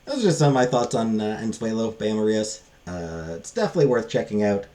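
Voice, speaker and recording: A man giving a casual, unscripted book review in a halting, rambling, self-deprecating way. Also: on the low side, big pitch swings, dark tone.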